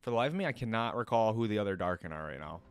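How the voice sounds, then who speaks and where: A man's voice: on the low side, animated, very fast. A man hosting a reaction video, commenting casually, musing aloud and putting questions to his viewers.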